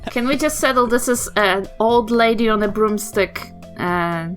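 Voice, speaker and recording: A woman's voice: on the high side, unhurried, fairly bright tone, soft background music. A woman with a New Zealand accent chatting casually as a player in a tabletop game. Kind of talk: casual chat.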